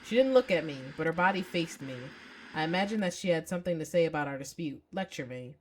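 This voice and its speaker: Woman playing young man, visual novel hero, lovelorn, bitter, wistful, sardonic, slightly low voice. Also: lively intonation, fast, darkish tone, a little hiss or hum. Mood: wistful, brooding.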